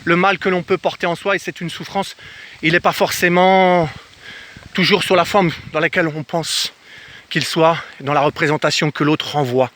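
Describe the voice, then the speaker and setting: A man from France telling a casual personal travel story to camera in an animated way, acting out bits of dialogue as he goes. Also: on the high side, normal speed. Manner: animated, acts out reported dialogue. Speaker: travel vlogger. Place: outdoors in the countryside.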